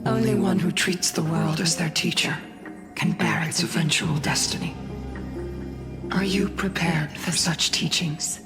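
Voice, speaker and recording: An actor plays a solemn remembered voice in a fantasy game. It is mid-pitched, grave and very slow, with clear music underneath. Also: steady tone, lightly acted. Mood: grave, questioning. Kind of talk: scripted game drama.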